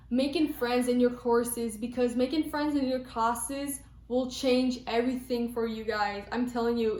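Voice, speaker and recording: A young woman from Quebec with a Quebec French accent, a native French speaker chatting to camera as a vlogger, emphatic and quick to restart her words. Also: a little high. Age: young adult. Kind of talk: casual chat to camera. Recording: roomy echo.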